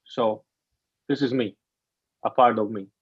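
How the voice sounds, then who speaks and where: A man who grew up in Afghanistan and Germany, a mental training coach telling his story haltingly in an interview, often restarting his sentences. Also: medium pitch, steady tone, slightly rough, dry recording, lo-fi sound. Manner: halting, searching for words, with many restarts. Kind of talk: casual interview talk.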